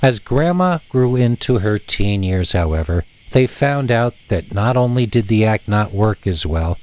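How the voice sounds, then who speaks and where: A man's voice: fairly low pitch, animated, measured pace, a little mellow, poor audio. A man narrating a scripted tale as a storyteller.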